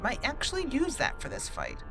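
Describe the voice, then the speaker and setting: A woman's voice: a little high, huge pitch swings, brisk, vocal fry. A woman hosting a gaming channel, giving casual gameplay commentary in a chatty, playful way. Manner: chatty, playful, laughs at her own jokes. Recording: faint game music behind.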